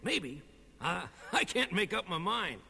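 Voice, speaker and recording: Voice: medium pitch, sing-song, slow pace, vocal fry, pushed and bright, breathy edge, full character voice. A performer voicing an eager, wide-eyed, folksy young boy puppet on a 1960s children's record. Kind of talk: children's puppet record, scripted skits and songs.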